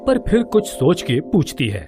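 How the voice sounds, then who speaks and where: A man's voice: fairly high pitch, huge pitch swings, normal speed, music in the background. A man narrating a scripted children's story as its storyteller.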